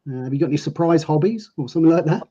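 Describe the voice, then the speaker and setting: A man's voice: a little high, lively intonation, very fast, dark tone, husky. Upbeat male host on a lo-fi call. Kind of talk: live online show chat. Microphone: video call.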